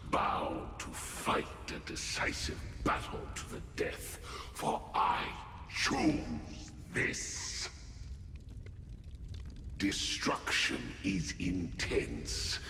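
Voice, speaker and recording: Game warrior: deep, solemn, doom-bound, gravelly and breathy, delivery swinging widely, heavy reverb. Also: male voice, exaggerated voice. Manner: slow, solemn, theatrical delivery. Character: grave, fatalistic male warrior devoted to destruction. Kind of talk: dramatic game cutscene acting. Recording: noisy, echoey, long reverb.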